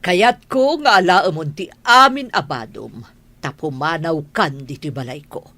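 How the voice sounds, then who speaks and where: Actress doing bossy rich mom, scolding, sharp bright voice, swoops up n down, radio drama. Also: female voice, deep voice, animated, a little slow, no room sound, heavily acted. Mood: angry, imperious. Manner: scolding, imperious, emphatic. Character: stern, haughty, class-proud mother and mistress of household. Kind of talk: Ilocano radio drama acting.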